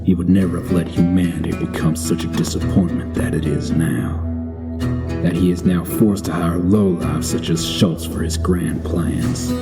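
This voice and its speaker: Male actor as grizzled cowboy bounty hunter, low voice, laconic, wry, audio drama narration, music underneath. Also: fairly even intonation, moderate pace. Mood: grim, tense. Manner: laconic, wry, tough-talking.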